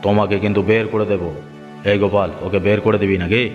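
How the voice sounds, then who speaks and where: A man's voice: fairly low pitch, little pitch movement, fast, highs cut off, faint music behind, lightly acted. A man voicing a haughty, domineering rich village boss in a cartoon, pompous and commanding. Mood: imperious. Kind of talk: Bengali moral-story cartoon dubbing.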